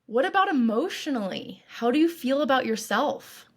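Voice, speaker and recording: A woman coach leading a guided meditation in a calm, reassuring, instructive way, with deliberate phrasing. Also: sits high. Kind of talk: guided meditation, scripted narration.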